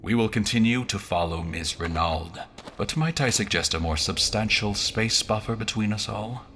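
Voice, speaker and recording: Wary commanding general, deep, forceful, formal phrasing, audio drama. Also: male voice, moderate pace, a little hiss or hum. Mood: stern command. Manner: formal, clipped, guarded politeness. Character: stern, wary male general guarding a relic, formal and commanding. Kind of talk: dramatic audio fiction acting.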